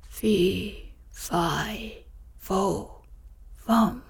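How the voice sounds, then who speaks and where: A woman voicing a bellowing man-eating male giant in a slow, breathy whispered storybook reading. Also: mid-range voice, dry recording, exaggerated voice. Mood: menacing.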